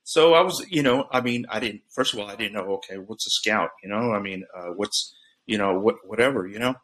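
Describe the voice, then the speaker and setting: Man, middle-aged, low-pitched, bright tone, rambling, halting storyteller. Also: poor audio. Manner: rambling, halting, many restarts and fillers. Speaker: guest. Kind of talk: casual storytelling.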